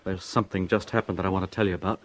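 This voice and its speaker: A man's voice: sits low, steady tone, rapid-fire, a bit bright. An American man playing a fussy, anxious, apologetic office worker in a 1950s radio drama. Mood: eager, chatty. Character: fretful, apologetic male office worker fussing over his ulcer. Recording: noisy vintage radio recording.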